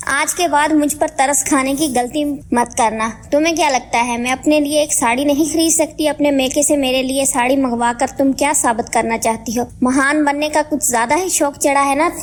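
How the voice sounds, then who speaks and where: A woman playing a jealous elder sister-in-law and mother in an animated story, sarcastic, scolding and accusatory. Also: high voice, moderate pace, hardly any pauses, lightly acted. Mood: angry, accusing. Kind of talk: Hindi animated moral story dialogue.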